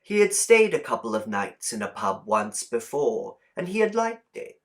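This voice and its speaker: A man reading a short story as an audiobook, in a deliberate, expressive storytelling delivery. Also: medium pitch. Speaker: audiobook reader. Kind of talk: scripted storybook narration.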